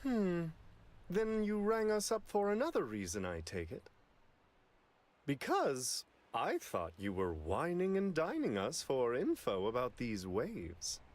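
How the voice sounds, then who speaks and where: An unflappable celestial attendant from an anime game. His manner is prim and exquisitely polite. He speaks very slowly, with a lilt that sweeps dramatically up and down. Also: fairly high pitch, huge pitch swings, heavily acted. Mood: intrigued, composed. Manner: prim, polite, theatrically lilting delivery. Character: courtly, unflappable male celestial attendant to a god. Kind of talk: anime video game voice acting.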